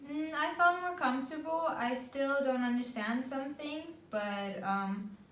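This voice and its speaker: A woman's voice: high voice, unhurried, a bit bright. A woman in her twenties, originally from Egypt, giving short, plain answers in an interview. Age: 20s. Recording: roomy, distant mic with faint noise.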